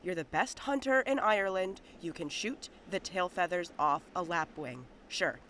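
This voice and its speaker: A man's voice: high-pitched, expressive, normal speed, sharp tone, a little hiss or hum. A man playing a down-on-his-luck, boastful medieval hunter who grumbles and scolds himself in a comic audio drama. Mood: frustrated. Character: self-berating young male medieval Irish hunter, boastful, down on luck.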